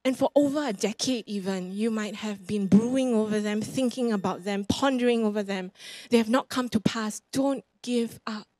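Animated Singaporean woman preaching. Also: medium pitch, normal speed. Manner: animated, roams the stage gesturing; emphatic, repeats phrases for effect. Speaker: guest preacher. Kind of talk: sermon with personal stories. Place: church stage before a congregation. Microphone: handheld mic.

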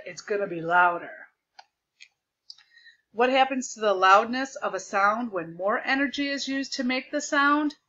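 A woman teacher projecting her voice loudly as she explains a lesson step by step over a video call, repeating the key points. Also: fairly high pitch, dull audio. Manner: loud projected voice. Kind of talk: remote classroom lesson. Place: home desk with a document camera. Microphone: computer mic on a video call.

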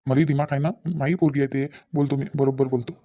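A man's voice: medium pitch, level tone, fast, dark tone, natural voice. A man voicing a defensive, irritable village husband and father in a comedy cartoon, with a rural Vidarbha Marathi accent, arguing and repeating himself. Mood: reasoning, firm. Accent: rural Maharashtrian, Vidarbha. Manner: argumentative, self-justifying, repeats himself.

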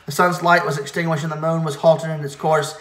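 A man solemnly reciting liturgical hymn verses in a measured way, now and then stumbling over a word. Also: on the high side, little pitch movement, fairly bright tone. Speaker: reader of church liturgy. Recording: echoey, reverberant room.

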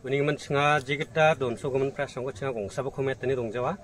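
A man's voice: medium pitch, fairly even intonation, rapid-fire, far from the mic. Brief: man in his 30s, event organizer under interview, hesitant, fills with "ah", heard a bit away from the mic outdoors with faint noise. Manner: hesitant, starts answers with "ah". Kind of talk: answering interview questions. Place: outdoors by a rural fish pond.

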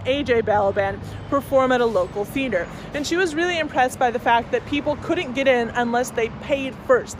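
Chatty young woman tour guide outdoors. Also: on the high side. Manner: chatty and enthusiastic, with asides. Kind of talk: walking-tour presentation to camera. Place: outdoors on a city street. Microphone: phone camera at arm's length. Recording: outdoor street noise with traffic.

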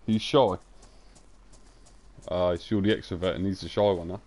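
A young man with a croaky voice, joking crudely and chatting casually while live-streaming a game. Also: deep voice, a little mellow. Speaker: gaming streamer.

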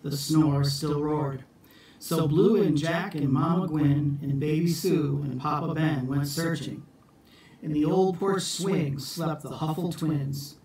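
A middle-aged man reads a children's picture book aloud in an expressive, theatrical, deliberate storytime manner. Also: on the high side. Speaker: storytime reader for schoolchildren. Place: small room at home, indoors. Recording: echoey room, distant phone mic.

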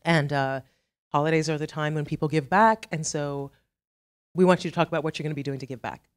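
A woman's voice: sits low, steady tone, fairly quick. A middle-aged woman from Trinidad with a Trinidadian accent, giving a chatty, self-deprecating conference talk full of asides. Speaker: conference speaker. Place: conference hall.

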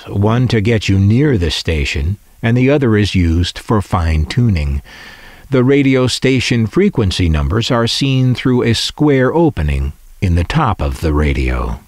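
American guy doing slow wry narration, lowish voice, kinda measured and opinionated. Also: dry recording. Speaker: host of a vintage radio collecting channel. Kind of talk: scripted documentary-style narration.

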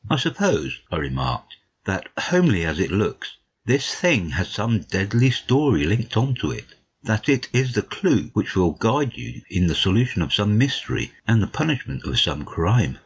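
A man playing a courteous gentleman narrator, telling a detective story in a measured, literary way, on a dull recording. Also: on the low side, big pitch swings, husky, heavily acted.

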